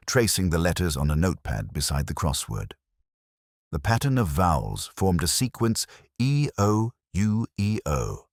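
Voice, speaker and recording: Very deep-voiced male story narrator. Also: dry recording, clean studio sound. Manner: literary, measured, brooding. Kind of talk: scripted first-person detective story narration.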